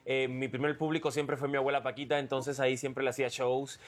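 A man's voice: medium pitch, level tone, fairly quick. A man from Cuba, a guest entertainer, answering interview questions in a hesitant, casual way with many restarts and slang. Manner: hesitant, many fillers and restarts, laughing asides.

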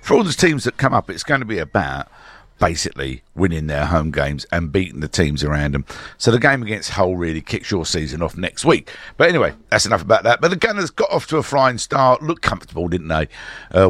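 A hoarse man with a slight cold hosting a local radio sports show, chatting casually and rambling with lots of filler sounds. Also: low voice, sing-song, brisk, fairly bright tone, no room sound. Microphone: studio microphone.

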